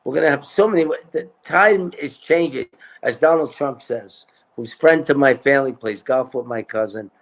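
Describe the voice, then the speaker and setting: A man's voice: medium pitch, moderate pace, poor audio. A man talking as a political candidate in a casual interview, emphatic and repeating his points.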